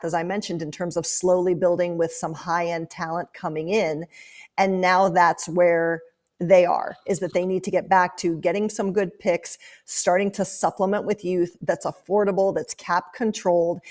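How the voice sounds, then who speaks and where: Measured woman analyst, slightly low voice. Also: a little mellow. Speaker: sports TV analyst, guest. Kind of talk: thoughtful hockey analysis in an interview.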